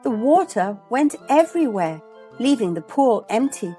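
A woman hosting a children's read-aloud, narrating a storybook expressively and deliberately. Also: on the high side, big pitch swings, warm tone. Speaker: host and storybook reader. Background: music.

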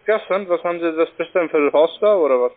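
A man who is not a native German speaker, making a halting telephone sales pitch and often checking in with a short question. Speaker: cold-calling telephone salesman. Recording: tinny narrow-band phone line.

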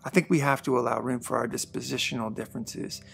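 A man in his forties giving an earnest, conversational monologue to camera about faith, shifting between emphatic bursts and quiet asides. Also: medium pitch, fairly even intonation, quick pace, gravelly, breathy edge. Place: dark studio backdrop, indoors.

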